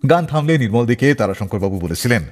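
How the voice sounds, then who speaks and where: A man's voice: mid-range voice, animated, fast, big-room sound. A man hosting a radio show, narrating scripted stories in a dramatic storyteller's way. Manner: dramatic storyteller, acts out quoted dialogue.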